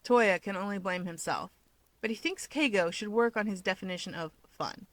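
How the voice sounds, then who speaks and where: A woman's voice: medium pitch, moderate pace, dry recording. Woman narrating a story, close dry sound. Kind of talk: scripted fan fiction audiobook narration.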